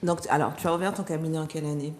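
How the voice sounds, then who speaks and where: A woman hosting a TV talk show in relaxed interview chat, laughing often and encouraging her guest. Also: deep voice, racing, a little hiss or hum.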